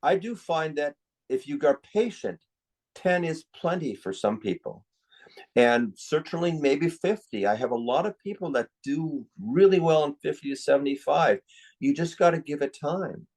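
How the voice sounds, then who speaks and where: A man's voice: on the high side, expressive, moderate pace, darkish tone, poor audio. An older man speaking as a psychiatrist in an interview, giving his opinions in a reflective, conversational way.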